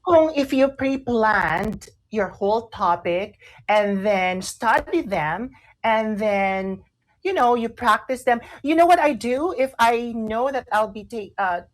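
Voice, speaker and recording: A woman's voice: mid-range voice, low-quality recording. Filipino woman co-hosting a casual talk show. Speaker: radio show co-host. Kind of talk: casual talk show chat, mixing English and Tagalog.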